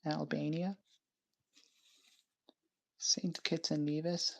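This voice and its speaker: A woman from Canada on a casual live stream, naming items one at a time in short, unhurried phrases. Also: low voice, little pitch movement, vocal fry, low-quality recording.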